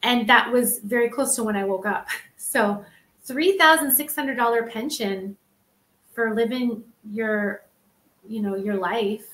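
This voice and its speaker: A woman's voice: on the high side, a little slow, fairly bright tone, roomy sound, poor audio. A woman speaking on a discussion panel in an earnest, matter-of-fact way, piling up figures as she goes. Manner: earnest, piles up figures and restarts. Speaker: panel guest, former social seller.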